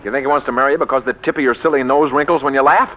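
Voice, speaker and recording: An American actor playing a brash, sardonic, lovesick book publisher in a 1940s radio romantic comedy. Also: male voice, mid-range voice, steady tone, very fast, bright, forceful tone, natural voice. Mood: indignant, urgent. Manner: wisecracking, insistent, faltering when flustered. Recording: muffled, noisy 1940s radio recording.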